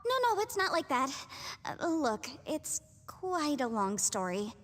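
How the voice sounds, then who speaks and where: A high, animated young woman in an anime game. She is polite, chatty and evasive, and her voice carries a long reverb. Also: measured pace. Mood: evasive, flustered. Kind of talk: anime-style video game dialogue.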